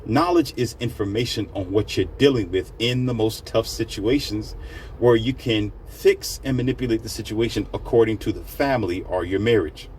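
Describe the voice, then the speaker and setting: A man's voice: lowish voice, a little hiss or hum, poor audio. A man hosting his own podcast, rambling in a casual, slangy storytelling monologue. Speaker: podcast host.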